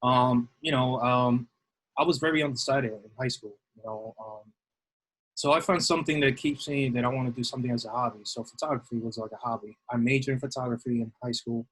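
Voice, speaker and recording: Middle-aged New man, husky, rambling guest answers. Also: mid-range voice, fairly even intonation, brisk, fairly bright tone, low-quality recording. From New York City. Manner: rambling, many fillers and restarts. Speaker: guest, assistant baseball coach. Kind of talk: casual interview answers.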